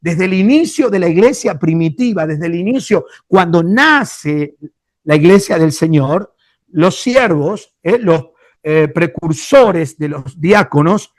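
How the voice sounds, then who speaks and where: A male pastor with a Rioplatense Spanish accent teaches from the Bible in a high, bright-pitched voice. He speaks emphatically, hesitates often, and addresses his listeners fondly. The recording is close and dry, with no room echo.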